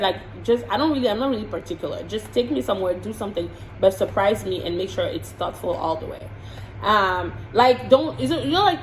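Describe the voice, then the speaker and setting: A woman from the Congo answering get-to-know-me questions in a casual vlog, frank and chatty, often repeating words while she thinks. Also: medium pitch. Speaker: vlogger and hair and makeup artist. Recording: roomy echo with faint background hiss.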